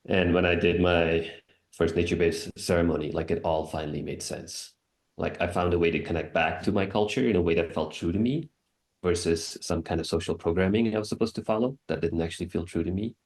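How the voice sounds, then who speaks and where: A man in his thirties from Singapore, telling his own story on a panel in a reflective, earnest, measured way. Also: sits low, darkish tone. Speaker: panelist, wilderness guide.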